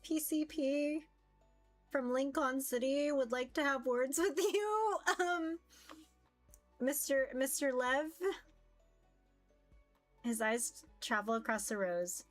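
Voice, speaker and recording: A woman's voice: high voice, slow pace, long pauses, faint music behind. A woman streamer reading a visual novel aloud as narration and commenting casually as she plays.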